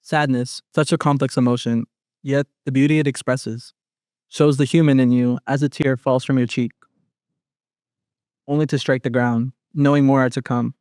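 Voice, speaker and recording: Young guy reading his poem, smooth voice, no room echo, earnest and measured. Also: mid-range voice, level tone, a little mellow, studio quality. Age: young adult. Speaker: student poet. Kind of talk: poetry reading.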